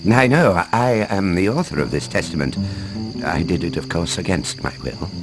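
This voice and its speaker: A mild scholarly forger from a video game cutscene. His voice sits low but rises and falls dramatically as he explains things in a storytelling, slightly hesitant way. Clear music plays behind him. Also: expressive, moderate pace. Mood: obliging, uneasy. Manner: expository storytelling with hesitant chuckles. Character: mild, learned male professor and forger, chuckling and hesitant.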